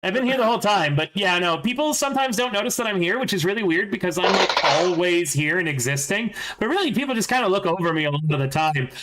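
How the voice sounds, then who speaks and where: A loud, restless young marine who never stops talking, as a man puts him on for the table. He rambles at a rapid clip in a high, eager voice, oblivious to everyone else. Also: expressive, noisy background. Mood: rambling.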